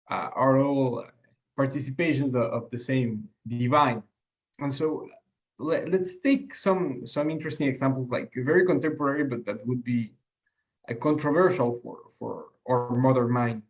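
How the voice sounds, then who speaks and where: A man co-hosting a podcast, explaining ideas reflectively and haltingly, often repeating words as he searches for his phrasing. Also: mid-range voice, low-quality recording. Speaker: podcast co-host.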